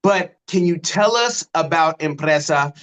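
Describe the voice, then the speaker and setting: A man's voice: a little high, animated, measured pace, a bit bright. A man hosting a business podcast, introducing his guest in an enthusiastic, informal way. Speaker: podcast host. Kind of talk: casual interview hosting.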